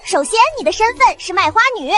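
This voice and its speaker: A childlike system spirit from an animated drama, very high and bright. It is bossy and excitable, swooping through its lines and quick to panic. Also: heavily acted. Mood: perky, instructive. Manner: excitable, exclamatory, urging. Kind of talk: animated web drama dubbing.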